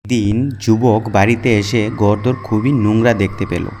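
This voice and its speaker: A man's voice: on the low side, fairly even intonation, moderate pace. A man reading scripted narration as the storyteller of a folk tale. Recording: music behind the narration.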